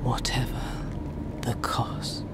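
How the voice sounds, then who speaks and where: A woman's voice: slow pace, in a whisper, lightly acted. A woman playing an imperious demon mother in a video game, solemn, seductive and commanding. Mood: ominous command. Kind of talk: dramatic video game acting. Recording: game cutscene under loud music. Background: loud cinematic music.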